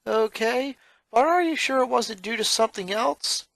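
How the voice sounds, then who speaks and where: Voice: medium pitch, lively intonation, normal speed, vocal fry, fairly bright tone, no room sound, voice pushed up. A story reader voicing an anxious, scheming boy who speaks hesitantly and carefully. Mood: cautious. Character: anxious, scheming middle-child boy, tentative and sheepish.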